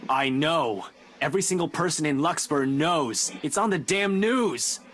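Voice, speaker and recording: An actor playing a brusque, dismissive young police officer in a horror video game. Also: male voice, on the high side, animated, measured pace, a bit bright, a little hiss or hum. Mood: irritated. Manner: curt, dismissive, exasperated.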